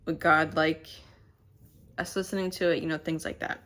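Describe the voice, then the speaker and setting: A woman with a stuffy, nasal voice, chatting casually to the camera at home in a rambling, halting way. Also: deep voice, little pitch movement, fairly bright tone, a little hiss or hum. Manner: rambling and halting, many fillers. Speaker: channel host. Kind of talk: casual to-camera vlog chat. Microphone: camera mic.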